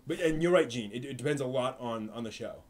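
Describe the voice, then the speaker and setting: A man's voice: medium pitch, animated, fast, darkish tone. A man hosting a live stream, chatting casually and answering questions from the chat, rambling with lots of fillers and restarts.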